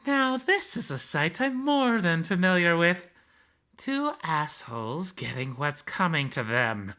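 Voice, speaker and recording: A man acts out a cocky hyena brawler from a visual novel. The voice sits high and bright, dripping with sarcasm. It is theatrical and taunting, playful with menace underneath. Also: sing-song, measured pace, exaggerated voice. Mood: smug taunting.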